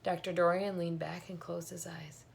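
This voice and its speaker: A woman's voice: on the low side, little pitch movement, normal speed, vocal fry, a little hiss or hum. A woman in her thirties reading a children's book aloud as storyteller, recorded in a room at home.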